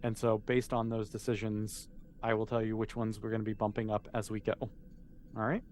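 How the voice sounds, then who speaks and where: A man's voice: fairly low pitch, little pitch movement, fairly quick, a little mellow, slight background noise. A man game mastering a tabletop role-playing game, explaining and narrating in a casual, informal way.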